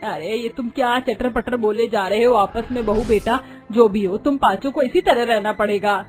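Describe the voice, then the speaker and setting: Lady doing a naggy fussy older mother in law, high voice, story with music behind. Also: moderate pace, lightly acted. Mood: dismissive. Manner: exclaiming, complaining.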